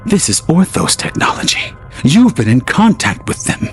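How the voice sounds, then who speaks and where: Brief: man playing a stern female leader, cold and accusing, voice raised higher, audio drama. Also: big pitch swings, moderate pace, faint music behind. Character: stern suspicious woman leader.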